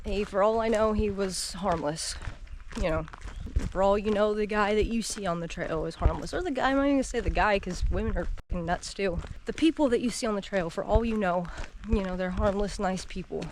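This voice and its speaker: A woman's voice: mid-range voice, a little fast. Hiker in her 30s, scattered chatty vlog delivery, walking outdoors, camera mic, audible outdoor noise. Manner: rambling, scattered, laughs often. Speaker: solo hiker vlogger. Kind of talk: casual walking vlog chat. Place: wooded trail outdoors. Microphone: action camera mic carried while walking.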